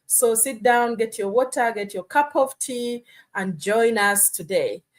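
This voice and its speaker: A woman from Uganda hosts a live stream with a fairly high voice. She talks earnestly and keeps checking in with her listeners, scattering "you know" and "hmm?" through her points. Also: unhurried. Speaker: host. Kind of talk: casual live-stream talk.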